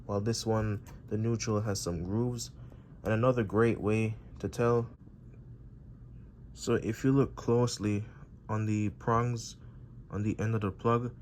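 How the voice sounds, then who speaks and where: A man giving an informal, unscripted how-to tutorial, explaining step by step in a halting way. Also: on the low side, slight background noise. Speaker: presenter of a how-to repair video.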